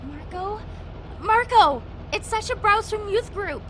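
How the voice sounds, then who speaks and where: A woman's voice: very high-pitched, lively intonation, a little slow, fairly bright tone, faint music behind, heavily acted, low-quality recording. A woman playing an anxious, pleading young woman in an audio drama, protective and insistent. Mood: worried. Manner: frantic, insistent.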